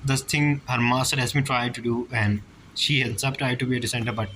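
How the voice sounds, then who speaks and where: A man giving casual, halting commentary on a video game, musing aloud as he plays. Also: medium pitch, steady tone, pushed and bright, slight background noise, rough recording. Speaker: gameplay streamer.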